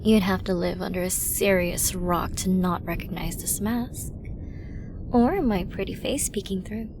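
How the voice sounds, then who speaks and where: A woman's voice: mid-range voice, background noise. A woman playing a flirty, dominant villain who taunts a hostage in an ASMR roleplay, teasing and menacing, often dropping toward a whisper. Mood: smug, mocking. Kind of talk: ASMR roleplay audio drama.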